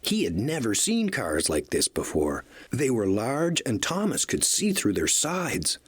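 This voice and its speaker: A man reading a children's read-along story as narrator, in an expressive storytelling style. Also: medium pitch, a little slow, husky.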